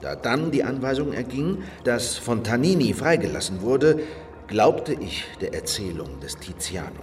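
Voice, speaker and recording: An actor playing a sober, wary fugitive heretic in a German historical radio drama, with measured, solemn delivery. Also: male voice, medium pitch, very animated, darkish tone, far from the mic, slight background noise, natural voice. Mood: solemn reading.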